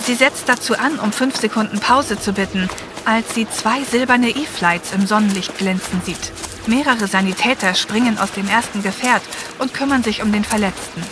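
Female narrator for scripted audio fiction, mid-range pitch, noticeable ambient noise behind. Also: moderate pace. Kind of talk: scripted story narration, present tense. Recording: clear helicopter and effects noise behind. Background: ambience, helicopter, vehicles.

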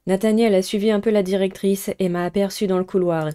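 A woman's voice: medium pitch, steady tone, brisk, mellow, smooth. A woman, narrating a video game playthrough and reading a visual novel aloud. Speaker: gaming streamer.

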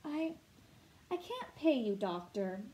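A woman's voice: high-pitched, sing-song, measured pace, darkish tone, voice pushed up, exaggerated voice. A woman reading a storybook aloud as an earnest, hesitant young mother who is struggling. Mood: embarrassed, tearful.

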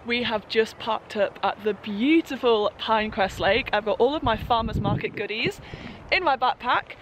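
A woman's voice: a little high, moderate pace, over music, poor audio. A young woman filming herself on a road trip, talking to camera with bubbly enthusiasm and frequent laughs. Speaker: travel vlogger. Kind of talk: excited travel vlog to camera. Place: outdoors and in a car on a road trip. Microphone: camera held at arm's length.

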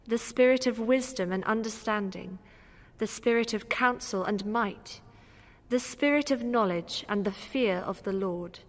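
A woman giving a formal reading of scripture. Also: a little high. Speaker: scripture reader. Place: large church. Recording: reverberant church, long echo.

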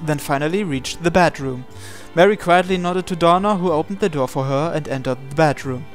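A man reading a visual novel aloud as narration, sometimes stumbling and repeating phrases. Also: a little high. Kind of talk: dramatic read-aloud narration with asides. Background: game music.

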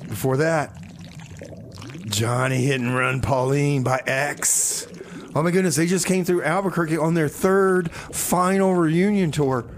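A man's voice: a little high, a little slow. A man hosting community radio with casual comedic banter, stammering and repeating himself. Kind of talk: casual music show banter with comedy bits.

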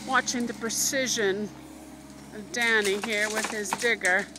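A woman's voice: medium pitch, steady tone, a little slow. Chatty woman filming outdoors by a digger. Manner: chatty, many fillers and "you know". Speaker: homeowner filming renovation. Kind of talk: casual narration while filming. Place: outdoors at a building site. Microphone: phone camera held by the narrator. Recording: outdoor phone video with loud machinery. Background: digger engine noise.

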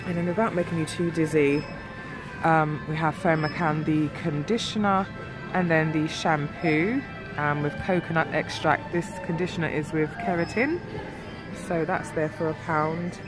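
A woman's voice: sits low, fairly even intonation, normal speed. Woman, stuffy nasal voice, chatty shop vlog over music. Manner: chatty, filler-heavy. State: nasal from a cold. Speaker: beauty vlogger. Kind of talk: casual shop walkthrough. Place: shop aisle, indoors. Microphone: handheld phone camera.

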